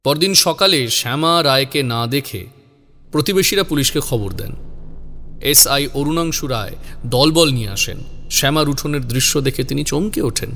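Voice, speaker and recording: Male narrator, mid-range pitch, dramatic horror storytelling over a loud music bed. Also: animated, moderate pace, vocal fry.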